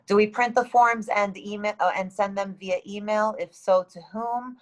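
A woman in her thirties with a halting, matter-of-fact manner, reading and answering questions as a school administrator on a video call. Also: mid-range voice, steady tone. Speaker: school administrator hosting a town hall. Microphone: video call, computer microphone.

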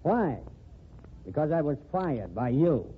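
An actor playing a bitter, threatening fired reporter in an old radio drama. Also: male voice, fairly high pitch, very animated, slow. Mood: menacing. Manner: sneering, threatening. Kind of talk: 1940s radio acting. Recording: tinny lo-fi old radio transcription with faint hiss.

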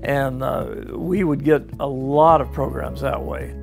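An older man recalling past events in an unhurried, casual way in a home studio. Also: medium pitch, steady tone, faint music behind. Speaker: interviewee, collector. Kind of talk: casual recollection. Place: home studio with audio equipment.

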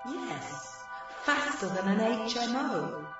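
An actress playing a dry, sardonic celestial assistant in an audio drama, practical and given to wry quips. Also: female voice, a little high, sing-song, slow pace. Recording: muffled audio drama mix under loud music.

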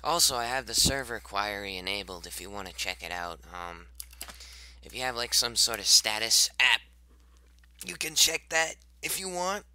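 A man in his twenties with a slightly raspy voice, rambling casually while streaming a game, repeating himself and trailing off. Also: fairly low pitch, animated, normal speed, bright, forceful tone, a little hiss or hum. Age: 20s. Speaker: Minecraft server owner streaming gameplay. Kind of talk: casual live-stream commentary.

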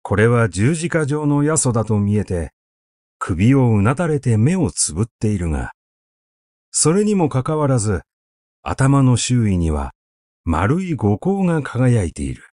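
Expressive male literary reader, unhurried. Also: mid-range voice, no room sound, clean studio sound. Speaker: narrator reading literature aloud. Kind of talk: literary recitation of a classic essay. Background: background music.

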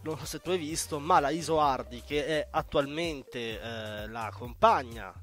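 A man's voice: mid-range voice, unhurried, a bit bright. A man hosting a radio show, chatting casually about current affairs. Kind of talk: casual political chat. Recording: music playing underneath.

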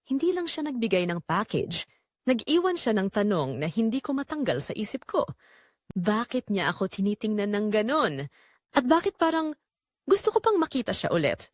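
A woman playing Rosa's part, a lonely, neglected wife in her forties, telling her story in a confessional, dramatic audio narration with short pausing phrases. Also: medium pitch, very animated, no echo, clean studio sound. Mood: conflicted, longing. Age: 40s. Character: Rosa, lonely neglected forty-three-year-old wife, wistful and conflicted.

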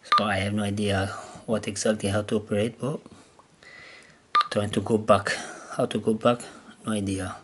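A man who is not a native English speaker gives a casual, unscripted hands-on demonstration, hesitantly thinking aloud in halting phrases. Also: on the low side, flat delivery, fairly bright tone. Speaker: amateur radio hobbyist demonstrating gear. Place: indoors at a wooden table. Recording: clear background noise on handheld camera audio.

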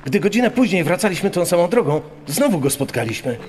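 A man's voice: high-pitched, big pitch swings, fast talker, darkish tone. A man playing a young tourist who survived an attack, giving grave witness testimony in an audio drama. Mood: grave recollection. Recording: long reverb, music behind.

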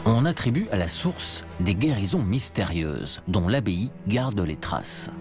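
A man's voice: fairly low pitch, sing-song, unhurried. A man reading scripted first-person narration for a travel documentary. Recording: music bed under voice-over.

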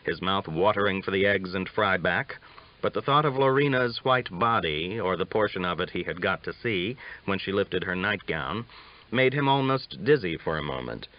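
Low-voiced man narrating a western novel. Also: animated, normal speed. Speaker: audiobook narrator. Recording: dull lo-fi audiobook recording.

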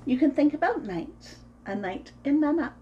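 A woman in her thirties reading a picture book aloud to preschoolers in an expressive, sing-song way, as host of a story time. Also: high voice, normal speed, highs cut off, slight background noise. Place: small room at home, indoors.

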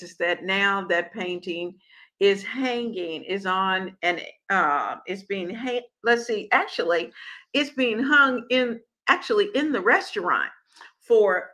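Slow-spoken older woman host, bright tone. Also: fairly high pitch. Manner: slow, measured storytelling with many pauses and fillers. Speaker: cooking show host. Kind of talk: casual talk to viewers.

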